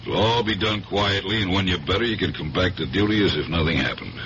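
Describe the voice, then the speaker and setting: A man's voice: deep voice, quick pace. An actor in a 1970s radio drama playing a tough but fatherly police superior, plain-spoken and firm. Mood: firm, concerned. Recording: dull vintage radio recording, faint hiss.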